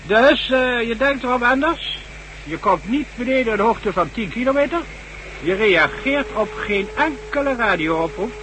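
A man's voice: extremely high pitch, animated, measured pace, pushed and bright, heavily acted. A man acting a blunt, authoritative official in a Dutch radio drama, commanding and dry as he briefs others. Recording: noisy old radio drama recording with hum.

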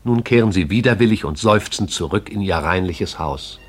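An actor with a husky voice as the poetic, all-seeing narrator of a radio play. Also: male voice, deep voice, expressive, moderate pace. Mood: lyrical, wry.

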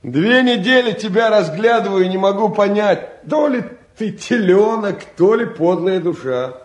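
A man's voice: high-pitched, animated, normal speed. An actor playing a modest, proud young provincial man in a radio play, blunt and earnest. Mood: blunt, teasing. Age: young adult. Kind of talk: stage-trained radio play acting.

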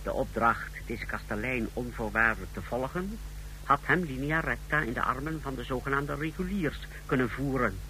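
An actor in a radio drama playing an efficient, deferential officer of a totalitarian lab, explaining in clipped phrases. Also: male voice, mid-range voice, normal speed, sharp tone, close to an everyday voice, low-quality recording. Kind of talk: radio drama acting in Dutch. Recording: radio drama with faint noise.

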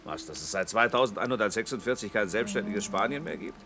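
A man's voice: lowish voice, lively intonation, fast talker. A man acting a grumbling, sardonic, skeptical traveller in a 1960s German radio drama. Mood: irritated, sardonic. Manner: grumpy, sardonic. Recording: noisy ambience behind.

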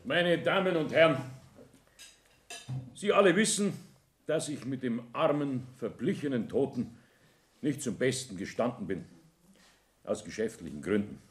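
An actor playing a pompous small-town builder, giving a stiff, formal speech in a radio drama. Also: male voice, medium pitch, expressive, unhurried, pauses often, natural voice. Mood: solemn. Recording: roomy 1960s radio studio.

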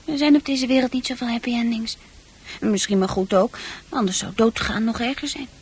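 A woman's voice: fairly high pitch, brisk, slightly rough. An actress playing a troubled eighteen-year-old girl in a radio play, hesitant and wistful, guarded but longing to escape. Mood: wistful, melancholy. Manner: hesitant, wistful delivery with repeated words.

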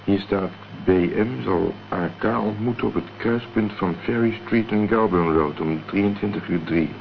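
A man's voice: lowish voice, measured pace, creaky. A man acting a cool, determined amateur investigator in a Dutch radio crime drama, speaking tersely and matter-of-factly. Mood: analytical, determined. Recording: dull, noisy old radio play recording.

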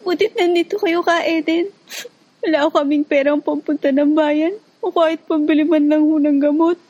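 An actress plays a poor, worried Filipino village wife in a radio drama. Her voice is high and soft-edged, on a slightly dull recording. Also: steady tone, normal speed, close to an everyday voice. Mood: worried, grateful.

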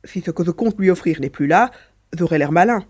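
Woman voicing a mischievous, mellow mouse-beaver alien. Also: lowish voice, expressive, fairly quick, slightly rough. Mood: teasing. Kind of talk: French audio drama dialogue.

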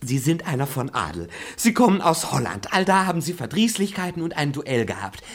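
An actor playing a cheeky young manservant who mocks and teases his master with a husky voice in a radio play. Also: a little high, very animated, fairly quick. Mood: mocking. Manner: teasing, laughs at his master. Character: cheeky scheming young manservant, mocking liar. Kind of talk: stage radio play acting.

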